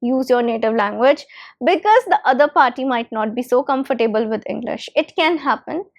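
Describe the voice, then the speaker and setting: A woman's voice: fairly high pitch, lively intonation, normal speed. A woman whose first language is Hindi, giving an English lesson with emphatic repetition of key phrases. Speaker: English teacher. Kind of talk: instructional talk to camera.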